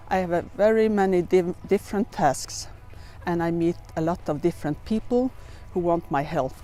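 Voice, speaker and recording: A woman's voice: on the low side, mellow. A woman from Norway with a Norwegian accent, giving a testimonial in non-native English as a business advisor.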